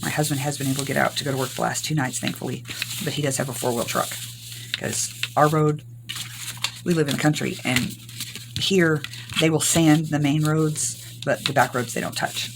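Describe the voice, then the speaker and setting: An older woman from Arkansas, hoarse from recent illness, chatting through a rambling crafting tutorial. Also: a bass voice, fairly even intonation, normal speed, noisy background. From Arkansas, US South. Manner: rambling, homey, many ums. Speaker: craft channel host.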